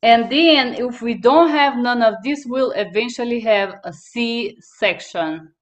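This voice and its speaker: A woman's voice: on the high side, slow, highs cut off. A young woman whose first language is Bulgarian, teaching interpreting terms on a headset video call, repeating each term twice. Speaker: interpreting instructor. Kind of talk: terminology drill on a video call. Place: small room indoors.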